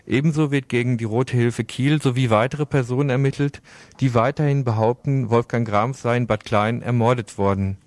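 A man presents scripted radio news at an average male pitch. The sound is dry and close, as in a broadcast studio. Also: fairly even intonation, moderate pace, no room sound.

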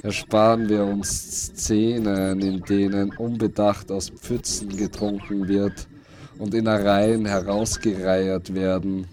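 A man reading scripted literary narration for a post-apocalyptic radio play, in deliberate, fragmented phrases that repeat words. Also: on the low side, flat delivery, warm tone.

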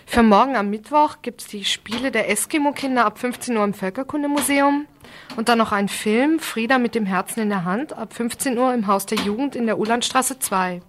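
A woman presenting informal event listings on local radio, with some background noise. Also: on the high side.

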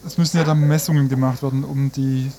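Mellow-voiced man interviewing on radio. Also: medium pitch, little pitch movement, fast talker. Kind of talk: interview questions. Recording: faintly noisy radio recording.

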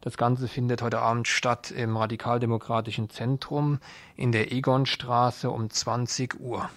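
A man's voice: medium pitch, little pitch movement, moderate pace, no echo. A man presenting local radio news and putting questions to a guest as interviewer.

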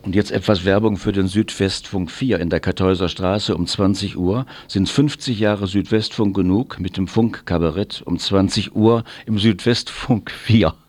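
A man's voice: low voice, moderate pace, hardly any pauses, a little mellow. A man presenting on community radio, reading out event announcements in an informational style.

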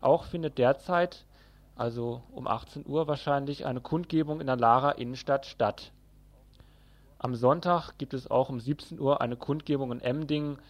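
Male radio news presenter, mid-pitched voice, reading a scripted report. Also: moderate pace.

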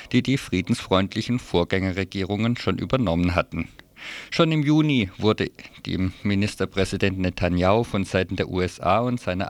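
A man presenting a scripted radio press review in a wry, colloquial manner. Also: lowish voice.

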